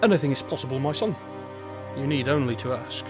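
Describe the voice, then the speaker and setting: A man's voice: medium pitch, expressive, fast, close to an everyday voice. A man playing a wry, teasing divine guide in an audio drama, polite and lofty in manner. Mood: patient. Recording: dramatic music underneath.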